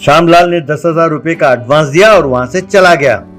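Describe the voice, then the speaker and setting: A man's voice: fairly high pitch, fairly quick, a bit bright, soft background music. A man telling a cartoon story as a scripted narration.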